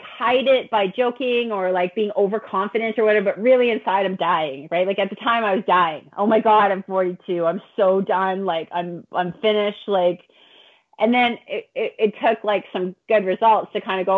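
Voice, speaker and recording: A woman in her late forties, an athlete and coach, talking casually and candidly in an interview, often poking fun at herself. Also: mid-range voice. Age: late 40s. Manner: candid, self-deprecating. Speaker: guest, veteran triathlete and running coach. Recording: thin phone-like call audio.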